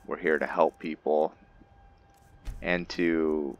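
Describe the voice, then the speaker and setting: A man giving unscripted roleplay commentary over a video game, halting and deliberate, with long pauses. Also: rumbling low, steady tone, highs cut off. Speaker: gamer hosting a roleplay playthrough.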